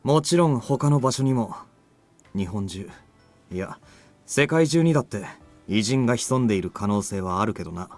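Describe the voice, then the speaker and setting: An actor voicing a confident, tough young fighter and trainer in a visual novel, speaking firmly. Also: male voice, on the low side, expressive, quick pace, a little mellow, faint music behind. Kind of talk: Japanese visual novel voice acting.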